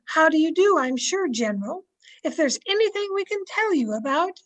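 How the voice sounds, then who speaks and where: Woman playing a high-voiced, long-suffering wife. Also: big pitch swings, moderate pace, a bit bright, full character voice. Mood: correcting. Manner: polite, correcting. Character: patient but weary wife, politely correcting her husband. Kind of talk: old-time radio comedy acting. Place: at home on a video call.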